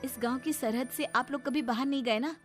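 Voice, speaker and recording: Woman doing upset young cartoon daughter-in-law, high voice, stubborn and pleading, music behind. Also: brisk, close to an everyday voice. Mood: indignant. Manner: pleading, insistent, indignant. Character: educated, defiant young pregnant daughter-in-law.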